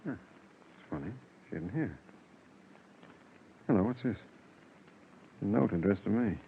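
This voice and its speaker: An actor playing a gentle, trusting father and husband in earnest 1940s radio drama style. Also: lowish voice, animated, a little slow, vocal fry. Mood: worried. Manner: soothing, earnest. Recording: muffled, noisy 1940s radio recording.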